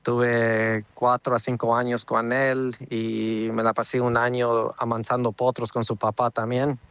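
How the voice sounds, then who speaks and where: A man's voice: mid-range voice, monotone, measured pace, a bit bright, smooth, no echo. Male horse trainer interviewee, casual, English fillers, dull close lo-fi audio. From Texas, United States. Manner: sprinkles English filler words into Spanish. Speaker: racehorse trainer being interviewed.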